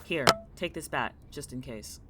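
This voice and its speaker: A man acting as a young male student in an audio drama, anxious and guarded. He talks in blunt, dry, terse lines with an irritable but protective edge. Clear background noise sits behind his voice. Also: medium pitch, a little slow, close to an everyday voice. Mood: wary, terse.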